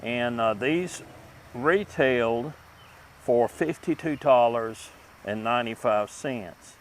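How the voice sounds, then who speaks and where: A man with a mid-range voice presents a review as host of a vintage electronics channel. He talks informally through what he is demonstrating. His delivery is deliberate and unpolished, with a halting flow. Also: medium pitch.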